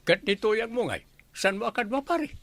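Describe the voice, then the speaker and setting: A man's voice: high-pitched, animated, normal speed, fairly bright tone, no room sound. A man playing a teasing village buddy in a radio comedy drama, with an Ilocano Filipino accent.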